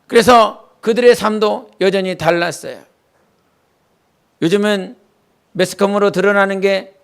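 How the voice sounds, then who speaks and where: Male pastor from Jeolla, high and smooth voice, earnest sermon with folksy anecdotes in a church. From Jeolla, South Korea. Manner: earnest preaching mixed with homely childhood anecdotes. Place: church sanctuary.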